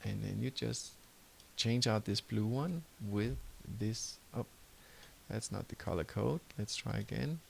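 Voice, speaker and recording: A man's voice: lowish voice, measured pace, creaky, slight background noise. A man from Denmark with a Danish accent, teaching web design over a screen recording in a loose, self-correcting way. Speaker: web design course instructor. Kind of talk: screen-recorded tutorial and course pitch.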